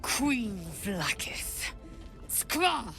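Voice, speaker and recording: A woman's voice: on the high side, very animated, extremely slow, vocal fry, a bit bright, soft background music, exaggerated voice. A woman voicing a proud, defiant warrior in a fantasy video game, emphatic and accusing.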